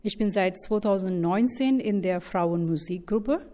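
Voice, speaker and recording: A woman from India with an Indian accent, speaking German slowly and carefully. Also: mid-range voice, dark tone.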